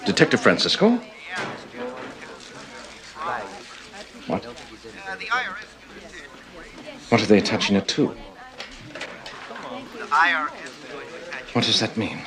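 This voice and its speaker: Earnest, animated alien immigrant detective. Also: male voice, lowish voice, fast talker, crowd noise. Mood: alarmed. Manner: formal, precise diction. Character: earnest, indignant alien immigrant police detective, proud family man. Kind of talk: television drama acting.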